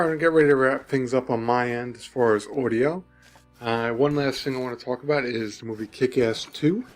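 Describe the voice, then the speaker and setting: Congested man with a gravelly voice. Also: medium pitch. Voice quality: nasal. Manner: rambling, apologetic, unprepared. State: congested from a cold. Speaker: gaming video host. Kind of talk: casual rambling commentary over gameplay. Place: in a car while driving.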